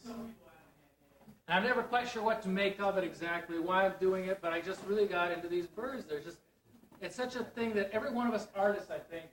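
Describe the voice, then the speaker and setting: A man speaking as an artist at an exhibition, giving an informal, rambling, self-deprecating and wry talk to an audience. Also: high voice. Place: gallery event, before a live audience. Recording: roomy, distant mic in crowded gallery.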